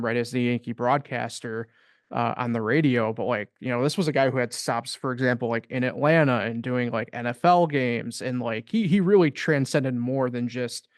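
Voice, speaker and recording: A man's voice: mid-range voice, clear, resonant tone, no room sound, clean studio sound. A young man co-hosting a sports podcast, talking casually and rambling with lots of fillers. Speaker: podcast co-host.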